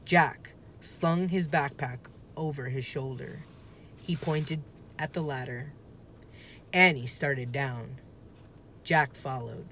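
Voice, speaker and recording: A woman with a low voice reads a children's storybook aloud. Her delivery is slow and deliberate, rising and falling expressively. Some rumbling noise runs underneath. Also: fairly bright tone. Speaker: storybook reader.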